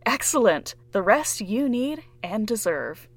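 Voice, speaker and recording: An actress playing a polished shop clerk who sells devices with practiced cheer. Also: female voice, fairly high pitch, very animated, measured pace, full character voice. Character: smooth female shop clerk selling devices. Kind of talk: audio drama acting.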